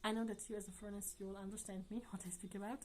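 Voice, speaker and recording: A woman talks casually to her viewers in a mid-pitched voice. Her English is earnest and chatty, with non-native phrasing and soft laughs here and there. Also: flat delivery, racing, a little hiss or hum. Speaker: vlogger. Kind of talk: casual chat to camera.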